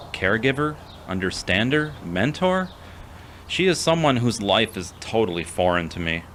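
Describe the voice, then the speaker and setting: A man's voice: lowish voice, fairly bright tone, slight background noise. A man playing a well-meaning, self-doubting friend in an audio drama, plain-spoken and mild. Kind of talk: amateur audio drama acting.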